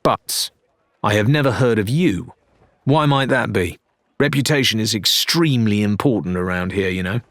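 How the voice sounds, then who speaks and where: A man voicing a brash, sharp-tongued young female pirate captain in an audiobook, haughty and challenging. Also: fairly low pitch, expressive, moderate pace, no room sound.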